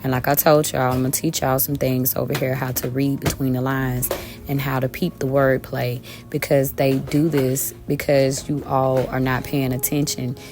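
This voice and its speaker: A woman with a raspy, slightly hoarse voice, teaching scripture to her viewers from home in a casual way, calling them y'all with many ums. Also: a bass voice, level tone, normal speed, music in the background. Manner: talks directly to viewers as y'all, with many um fillers. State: a little hoarse. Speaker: online Bible teacher. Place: at home with her children around.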